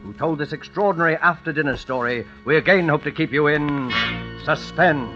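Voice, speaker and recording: An actor as a formal, ominous radio mystery host. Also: male voice, on the high side, close to an everyday voice. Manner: formal, deliberate. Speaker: announcer and series host. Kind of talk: 1940s radio suspense announcing. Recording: dull old radio recording with music.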